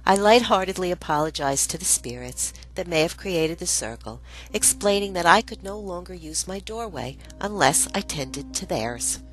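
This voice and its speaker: Female narrator, low register, scripted memoir storytelling, deliberate dramatic phrasing, light music bed underneath.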